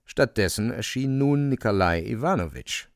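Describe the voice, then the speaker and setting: A man narrating a radio drama from a script, in an expressive storytelling style. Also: mid-range voice, moderate pace, no echo, clean studio sound.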